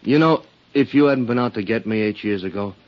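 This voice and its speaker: Man acting aggrieved ex-convict swindler, low voice, wheedling and insistent, halting, muffled old radio drama sound. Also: close to an everyday voice. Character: bitter, wheedling male ex-convict swindler, middle-aged. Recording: muffled 1950s radio recording.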